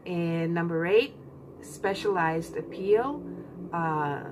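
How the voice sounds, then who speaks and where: Slow-talking woman book vlogger. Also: lowish voice, a little hiss or hum, rough recording. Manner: unhurried, chatty, many fillers. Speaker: BookTube channel host. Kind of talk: casual book tag vlog.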